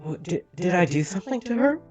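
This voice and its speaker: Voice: mid-range voice, very animated, fairly quick, darkish tone, dull audio, soft background music. Stammering player in a tabletop game. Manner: hesitant, stammering.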